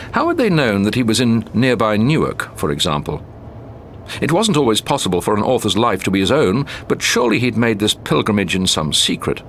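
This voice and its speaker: Expressive British man narrating a story. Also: lowish voice, a little hiss or hum. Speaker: audiobook narrator. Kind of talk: scripted short story narration.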